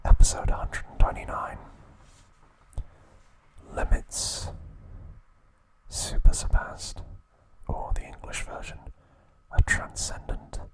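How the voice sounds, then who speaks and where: A man narrating ASMR in a hushed, deliberate whisper, breaking his sentences into short phrases. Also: rough recording. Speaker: ASMR host.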